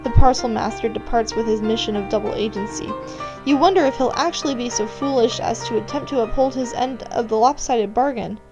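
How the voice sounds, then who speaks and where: High-voiced woman narrating a story. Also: fairly quick. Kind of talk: scripted second-person story narration with commands. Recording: music playing behind. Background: background music.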